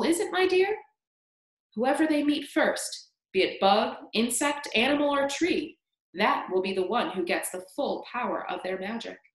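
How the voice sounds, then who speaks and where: A woman voicing a strange, excitable old man in a storybook reading, in an echoey room. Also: fairly high pitch. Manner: hushed and then wildly urgent.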